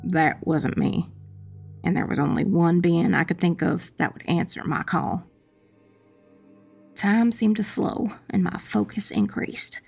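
A woman playing a determined witch who narrates a dark fantasy audiobook in an intense, dramatic, breathy voice with heavy creak. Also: on the low side, measured pace, soft background music, exaggerated voice. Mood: tense, weary. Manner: intense, hushed, dramatic first-person narration. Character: determined young witch telling her own battle.

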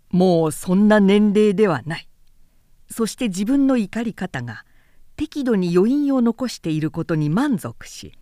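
A woman narrates a literary tale at an unhurried pace. Her intonation moves widely and expressively, in a measured storytelling style. The recording is dry and close. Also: medium pitch, dark tone, no room sound.

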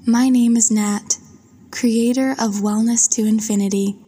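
A woman narrating a guided meditation in a soft, breathy voice, with a soothing, gentle and unhurried manner. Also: on the high side, steady tone. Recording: long washy reverb.

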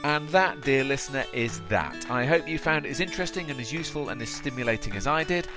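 A man's voice: mid-range voice, expressive, fast talker, music in the background. A man hosting a podcast interview, matey and upbeat, often restarting his phrases. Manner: matey and upbeat, with frequent repeated starts. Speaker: podcast host.

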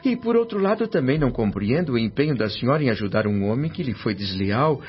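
A man's voice: medium pitch, big pitch swings, quick pace, lightly acted. Man acting an earnest, anxious son in radio drama. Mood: troubled, perplexed. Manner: emotive, questioning, formal and respectful. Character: earnest, anxious grown son, a newly dead doctor's spirit. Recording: dull radio drama track with music.

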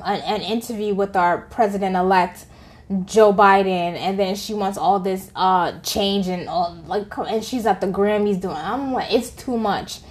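A woman co-hosting a podcast, chatting casually and making her points with animated, repeated emphasis. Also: mid-range voice. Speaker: podcast co-host. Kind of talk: casual chat.

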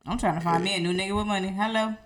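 A woman's voice: medium pitch, rapid-fire. Playful, brash female co-host, slangy casual banter, noticeable group chatter behind. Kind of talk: casual joking group chat. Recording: lively room with voices and laughter behind.

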